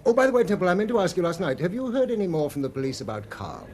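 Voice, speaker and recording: A British gentleman in a radio play, brisk and touchy beneath his good manners. His voice is on the high side and swings about as he hurries along. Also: sing-song. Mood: brisk, polite. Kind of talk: British radio drama acting.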